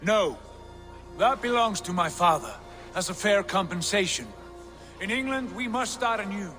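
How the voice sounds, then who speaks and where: An ambitious Viking prince in a video game drama. His voice sits high for a man, and he speaks formally, as if addressing his clan. Loud orchestral music plays underneath. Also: expressive, normal speed. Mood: firm. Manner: declamatory, formal, solemn. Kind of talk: dramatic game cutscene acting.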